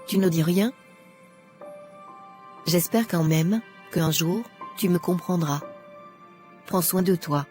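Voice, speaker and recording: A woman playing a discontented, regretful but resolute young rich girl in an animated drama. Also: fairly low pitch, slow, long pauses, faint music behind.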